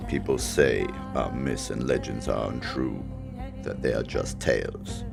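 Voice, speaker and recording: A man voicing an ancient Norse warrior with a gravelly voice, gravely narrating an epic tale. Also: very deep voice, unhurried, music in the background, heavily acted. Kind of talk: dramatic scripted narration.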